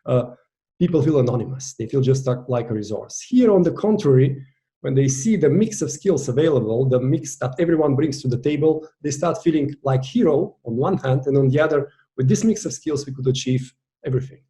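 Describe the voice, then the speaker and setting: A Bulgarian-accented man talks through a coaching masterclass with a warm, mellow tone. He speaks English as a second language, and his delivery is earnest and deliberate. He presses on key words as he lays out each point. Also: mid-range voice, animated. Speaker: virtual team coach. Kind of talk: online masterclass presentation.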